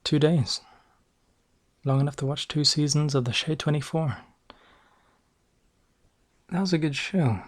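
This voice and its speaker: A man with a Northern English accent playing a boastful, bumbling noir private eye, narrating deadpan with hesitant asides in an ASMR roleplay. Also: fairly high pitch, a little slow, pauses often, no echo, faint music behind. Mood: deadpan, rambling.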